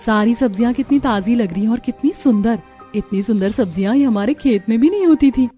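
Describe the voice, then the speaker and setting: Actress as earnest young country bride, high voice, cartoon tale, music underneath. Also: female voice, fairly quick, dark tone, natural voice. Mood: warm, admiring. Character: sweet, eager young village bride who loves vegetables. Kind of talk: cartoon story voice acting.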